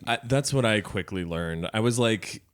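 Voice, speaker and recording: A very deep, smooth, resonant young man talks casually and hesitantly, filling gaps with ums and likes. He is from Indonesia and makes fun of himself. The sound is close and dry. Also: lively intonation, moderate pace, no room sound. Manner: hesitant, filler-heavy, self-deprecating. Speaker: podcast guest comedian. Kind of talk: casual chat.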